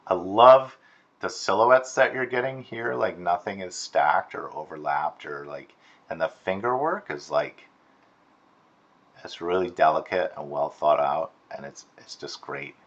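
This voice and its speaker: A man giving a casual, encouraging critique as an animation instructor, softening his suggestions with tentative phrasing. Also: deep voice, measured pace, muffled recording. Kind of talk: casual spoken critique of student work. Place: screen-recorded voice-over.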